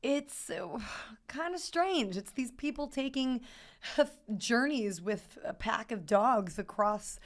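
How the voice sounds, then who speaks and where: Woman podcast co-host, hoarse and raspy, playful, quick to laugh. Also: on the high side, expressive. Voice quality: raspy from yelling. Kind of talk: casual chat.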